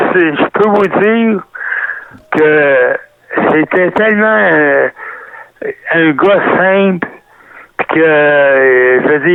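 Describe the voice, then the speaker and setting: An older man with a Québécois French accent, a guest reminiscing in an interview, rambling and halting with many restarts, heard over a phone line. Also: a little high, no room sound. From Canada. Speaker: guest, retired wrestler. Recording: tinny phone-line sound, dry.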